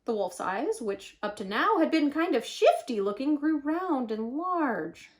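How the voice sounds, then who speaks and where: High, very animated woman storyteller. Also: unhurried. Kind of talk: expressive children's picture-book read-aloud.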